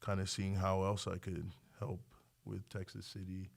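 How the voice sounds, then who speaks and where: A man's voice: sits low, completely flat delivery, normal speed, vocal fry. A man from Nigeria, chatting casually as a doctor and podcast guest in a self-deprecating, joking way. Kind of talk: casual interview chat.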